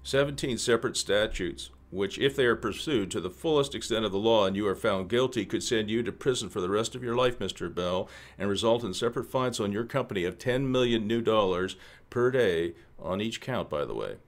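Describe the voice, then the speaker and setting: A man acts out a menacing government official in a radio play. His voice sits on the low side. He speaks formally and deliberately, with condescension and a suave threat under the words. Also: expressive. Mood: smug menace.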